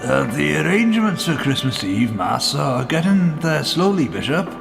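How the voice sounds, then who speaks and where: An actor playing a courteous, hesitant church helper in a radio play, halting and deferential. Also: male voice, a little high, very animated, vocal fry, over music. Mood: hesitant, troubled. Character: hesitant, courteous, troubled father helping at church.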